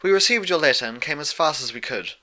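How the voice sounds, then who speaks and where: A man voicing a serene, motherly female pony ruler with a gentle, gracious and measured manner. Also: a little high, animated, pitched above the performer's own voice. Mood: warm greeting.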